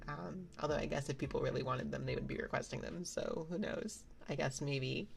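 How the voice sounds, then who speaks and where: A woman streaming ASMR, chatting softly and rambling with lots of fillers, sometimes dropping to a whisper. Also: deep voice, a little fast, vocal fry. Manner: rambling, many fillers and restarts; soft-spoken, drifts into whispers. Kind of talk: soft casual stream chat. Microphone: binaural mic.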